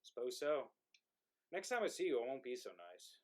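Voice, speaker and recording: A man's voice: mid-range voice, sing-song, moderate pace, natural voice. A man playing a dead soldier's ghost in a play reading, wry and resentful, with a conversational, needling delivery. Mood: ominous. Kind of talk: play reading, modern drama.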